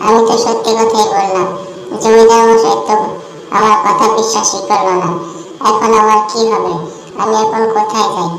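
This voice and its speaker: A woman's voice: medium pitch, little pitch movement, moderate pace, creaky, natural voice. Lady playing a sad little bird in a kids cartoon, begging and plaintive, echoey, faint music behind. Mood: distressed. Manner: plaintive, pleading. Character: helpless, pleading little female tailorbird robbed of her nest. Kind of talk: Bengali children's cartoon dialogue. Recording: roomy echo, music bed.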